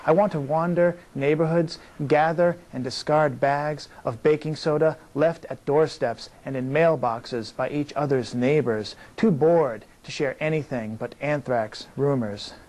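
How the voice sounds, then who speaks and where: A man's voice: a little high, measured pace, hardly any pauses, darkish tone. A man reciting poetry steadily as a writer at a reading, in long running lines. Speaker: poet reading own work.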